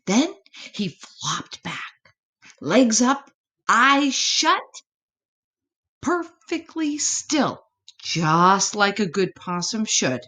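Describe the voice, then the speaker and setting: Very slow, animated woman reading a storybook. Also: mid-range voice. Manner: dramatic, deliberate pauses. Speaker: storybook reader. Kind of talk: expressive children's story narration.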